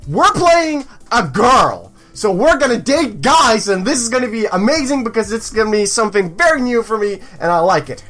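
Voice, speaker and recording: A woman hosting a let's play, switching between reading game text aloud and excitable, sweary commentary. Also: mid-range voice. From Europe, near Belgium. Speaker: gaming video host.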